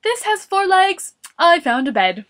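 A woman's voice: sits high, big pitch swings, a little slow, fairly bright tone, exaggerated voice. A woman voicing a naive, literal-minded male hoofed animal in a children's story, puzzled and blurting. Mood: excited.

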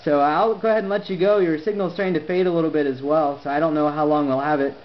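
A man with a slightly high voice chats as an amateur radio operator. His phrasing is deliberate and articulate. The recording is a bit dull, with faint noise behind him. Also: steady tone. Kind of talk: conversational ham radio exchange.

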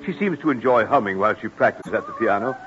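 Casting: genial, proud dad in vintage radio play, hugely varied intonation, dull lo-fi sound. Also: male voice, lowish voice, very animated, quick pace, loud music behind the voice. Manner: courteous, genial. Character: genial, proud father of a teenage girl. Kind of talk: old-time American radio drama acting. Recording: muffled, lo-fi old radio broadcast.

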